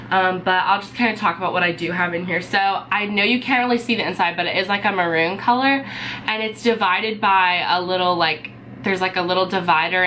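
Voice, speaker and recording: A young woman chats casually to camera in her bedroom, sounding stuffed up from a cold. Her voice is bright, and she fills her talk with "um" and "like" as she goes. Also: fairly low pitch, slight background noise, rough recording. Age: young adult. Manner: chatty, many fillers like "um" and "like". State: sick and congested. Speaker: beauty vlogger. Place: bedroom, indoors.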